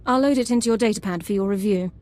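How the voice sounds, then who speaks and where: A woman's voice: mid-range voice, racing, darkish tone, natural voice. Actress as a formal military sergeant woman. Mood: formal, grave. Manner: formal, regimented, clipped military phrasing. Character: crisp, by-the-book female military sergeant, formal and dutiful. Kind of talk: video game character acting. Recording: game dialogue over background music.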